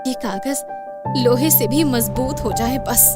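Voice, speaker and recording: A woman voicing a kind, brave young village wife in an earnest, expressive storybook way. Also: a little high, slow pace. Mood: confident. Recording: clear background music under voice.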